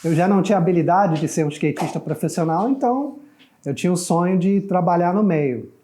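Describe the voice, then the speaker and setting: Mellow, higher-voiced middle-aged man from Canada. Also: expressive, brisk. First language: English. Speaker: photographer guest. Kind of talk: casual interview chat.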